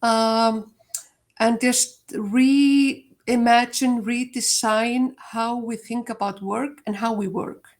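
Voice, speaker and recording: An older woman with an Icelandic accent speaks in a fairly high voice. She talks reflectively and casually, with many small pauses and fillers. She laughs lightly between her thoughts. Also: fairly even intonation. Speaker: guest, HR leader. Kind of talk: casual interview answer.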